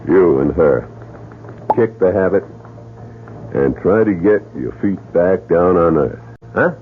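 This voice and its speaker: A man's voice: low voice, lively intonation, takes it slowly, over music. An actor playing a skeptical male police lieutenant who talks in a blunt, dry, doubting way, in a radio drama. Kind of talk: 1970s radio drama acting. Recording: tinny old radio broadcast with faint noise.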